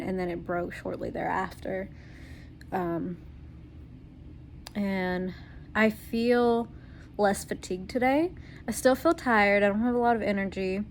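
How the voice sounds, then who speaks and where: A woman's voice: mid-range voice, a little hiss or hum. A young woman recording a casual video diary at home, her voice tired and congested while she is sick, rambling with lots of ums and small laughs. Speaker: vlogger.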